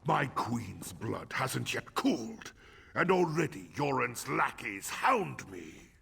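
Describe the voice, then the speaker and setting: Here is a proud High King from a fantasy game, full of scorn and suspicion in his grief. The delivery is sharp and rough with fry, drawn out and slow, dropping to hushed menace and rising to a roar. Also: big pitch swings, exaggerated voice. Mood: outraged. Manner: haughty, scornful, swinging from hushed menace to roaring.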